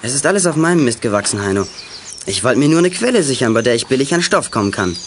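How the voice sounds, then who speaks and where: A man's voice: medium pitch, big pitch swings, quick pace, close to an everyday voice. An actor playing a bold, cunning teenage boy detective in a youth radio play, cheeky and self-assured. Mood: cocky bluffing. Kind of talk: 1980s German youth radio play acting. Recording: noisy old radio play recording.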